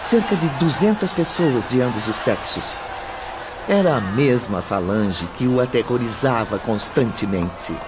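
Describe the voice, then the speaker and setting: A man narrating a radio drama in a grave, measured storytelling voice. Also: mid-range voice, animated, noisy background. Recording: muffled track with music.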